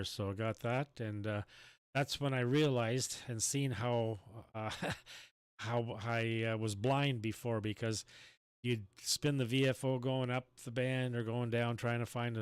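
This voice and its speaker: A man chatting casually as an amateur radio operator, talking in a halting, rambling way. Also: medium pitch, clear, resonant tone, dry recording, studio quality. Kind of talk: casual chat over ham radio.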